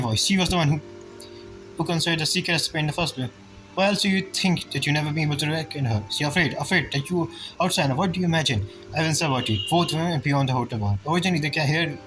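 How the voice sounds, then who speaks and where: Quick, high-voiced man gaming. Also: rough recording. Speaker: gamer, streamer. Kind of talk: casual game playthrough commentary, reading dialogue along. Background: game music.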